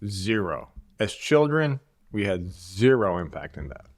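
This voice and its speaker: Deep-voiced man, measured and reflective. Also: sing-song, dry recording, studio quality. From Bosnia. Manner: measured, repeats key phrases. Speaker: guest. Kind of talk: reflective interview conversation.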